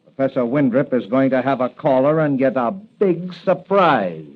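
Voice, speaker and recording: An actor playing a smug, menacing racket boss in an old-time radio crime drama. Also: male voice, medium pitch, expressive, moderate pace, over loud music, close to an everyday voice. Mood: cold menace. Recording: dull lo-fi vintage radio recording.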